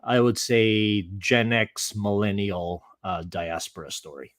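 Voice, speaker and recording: A man's voice: lowish voice, slow pace, fairly bright tone, no room sound, studio quality. A middle-aged man with an American accent, an interview guest telling his story in a casual, rambling, wry way. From San Francisco Bay Area, USA.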